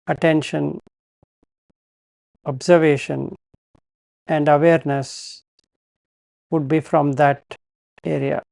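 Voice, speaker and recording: A man leads a guided meditation in a slightly high, very even voice. He speaks very slowly and calmly, leaving pauses between short instructional phrases. The sound is close and dry. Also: creaky, dry recording. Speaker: meditation teacher.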